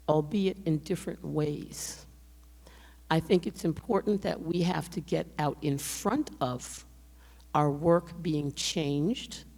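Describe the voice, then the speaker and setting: An older woman lectures in a low, gravelly voice that is straining to hold up. She speaks slowly and directly to the audience, as if chatting one to one, and a long hall reverb trails her words. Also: a little mellow. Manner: measured, conversational, addressing listeners directly. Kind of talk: public lecture.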